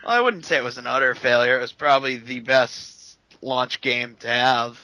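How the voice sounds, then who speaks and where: Bright-voiced man chatting about games. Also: medium pitch, normal speed, no room sound, poor audio. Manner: opinionated, talks at length with frequent restarts. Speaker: podcast co-host. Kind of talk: casual gaming chat.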